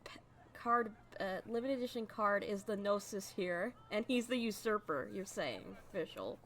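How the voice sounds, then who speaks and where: A woman's voice: medium pitch, animated, normal speed, fairly bright tone, slight background noise. A woman chatting casually as she streams a video game. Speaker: let's play streamer. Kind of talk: casual gaming chat. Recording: game music behind the voice. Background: video game music.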